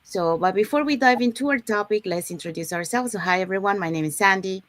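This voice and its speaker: A woman's voice: medium pitch, fast, no echo. A woman from Panama hosting a live online talk, presenting to her audience. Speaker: host of an online business talk show.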